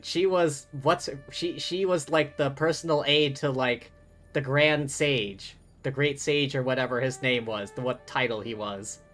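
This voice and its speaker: A man's voice: medium pitch, fairly bright tone. A man livestreaming a game with casual commentary, chatty and thinking aloud. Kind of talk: casual live stream commentary.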